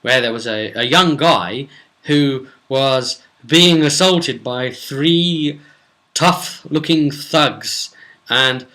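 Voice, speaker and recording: Male game master, forceful bright voice, rambling and animated, telling a casual session recap. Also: medium pitch, extremely slow, poor audio. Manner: rambling, animated storyteller acting out snippets of dialogue. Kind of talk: casual recap of a tabletop role-playing session.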